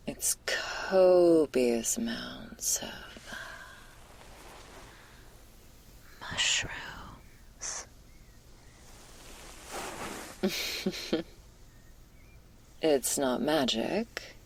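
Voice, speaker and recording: A woman acts out a female stoner werewolf in an ASMR roleplay, laid-back and caring with an anxious streak. Her voice sits low and crackles with fry. She talks slowly and hesitantly in casual slang, slipping between a breathy murmur and a whisper. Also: exaggerated voice. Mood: wry, playful. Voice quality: husky, breathy, creaky. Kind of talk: intimate ASMR roleplay, spoken to the listener.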